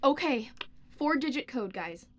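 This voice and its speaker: A woman's voice: high-pitched, expressive, a little slow, noisy background. A woman acting in an audio drama as an earnest, reassuring young woman leading her trapped friends. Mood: calm.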